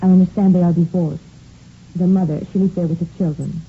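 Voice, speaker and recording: An actress playing an earnest, concerned household cook with a French accent, speaking carefully and politely in a 1950s radio drama. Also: female voice, lowish voice, fairly even intonation, fairly quick, lightly acted. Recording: muffled, noisy vintage radio recording.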